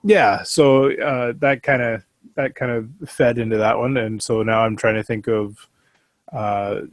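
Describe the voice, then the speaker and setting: Lowish-voiced man chatting, dry sound. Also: no echo. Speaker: podcast panelist. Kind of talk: casual chat.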